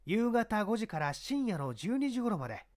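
A man voicing a shrewd, authoritative police inspector who gives brisk orders in a mystery audiobook. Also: sits high, very animated, voice pushed up. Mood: urgent, commanding. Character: shrewd, commanding middle-aged male police inspector. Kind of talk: dramatized mystery audiobook reading.

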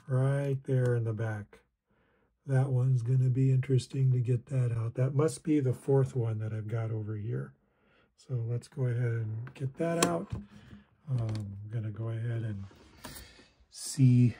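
Middle-aged male DIY presenter, husky and relaxed, tentative thinking-aloud delivery for hands-on tutorials. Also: mid-range voice. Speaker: repair video maker. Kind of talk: casual hands-on tutorial commentary.

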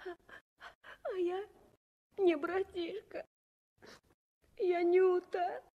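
High, plaintive little orphan girl. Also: steady tone, highs cut off, heavily acted. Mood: shy. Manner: small childlike voice, halting and plaintive. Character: orphaned little girl Nyuta, tearful, plain-spoken, trusting. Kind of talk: 1960s radio play acting.

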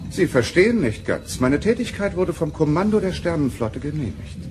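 An actor playing an arrogant, impatient starship propulsion expert in an audio drama, with a condescending, haughty manner. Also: male voice, a little high, big pitch swings, moderate pace. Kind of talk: German audio drama acting. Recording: noisy 1990 cassette radio play.

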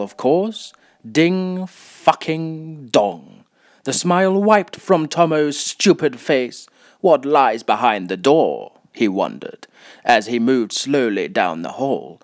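A man playing a wry, taunting narrator who is a voice in someone's head, delivering deliberate scripted dramatic narration. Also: medium pitch. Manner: wry, deliberate, mocking storytelling.

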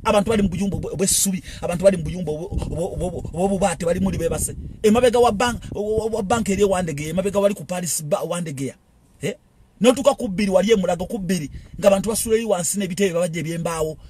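A man's voice: sits high, darkish tone, a little hiss or hum. A man with a Ugandan accent giving an impassioned political monologue, repeating phrases and firing rhetorical questions. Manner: rapid, repetitive, rhetorical questions. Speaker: political commentator.

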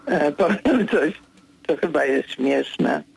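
An older man, a political commentator, answering as a guest in a radio studio interview, hesitant with many fillers, then firm and brisk. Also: fairly high pitch, expressive, creaky, breathy edge, low-quality recording.

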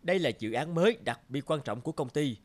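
Stern, lecturing cartoon boss. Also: male voice, a little high, animated. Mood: firm. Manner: measured, lecturing. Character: stout stern middle-aged male company boss. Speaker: voice actor. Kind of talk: animated cartoon dubbing. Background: background music.

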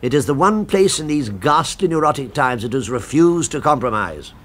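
A man's voice: medium pitch, big pitch swings, moderate pace, a bit bright. An actor playing an earnest, fussy gourmet businessman in a 1970s radio drama, with a precious, emphatic delivery. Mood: proud, reverent.

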